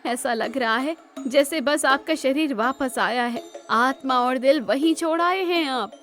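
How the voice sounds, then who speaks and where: Woman acting a high-pitched, proud, bitter queen. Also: a little slow, fairly bright tone, soft background music. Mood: icy sarcasm. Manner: regal, sarcastic, dramatic. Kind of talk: cartoon storybook dialogue.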